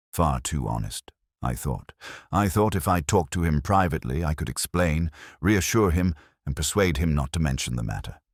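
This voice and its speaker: A man narrating plays a guilt-ridden parish churchman from a mystery audiobook. He is earnest and pleading, with halting confessions. The voice is extremely low and husky, recorded very dry. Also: no echo, heavily acted. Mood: anxious, confessing. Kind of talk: dramatised audiobook dialogue.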